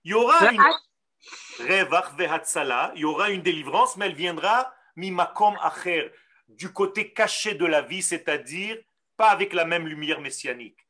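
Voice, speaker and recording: Middle-aged Israeli rabbi, native Hebrew speaker; sharp, high voice; slow readings and emphatic explanations over a video call. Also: male voice, expressive. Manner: reads Hebrew lines slowly, then explains them emphatically. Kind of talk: teaching a class.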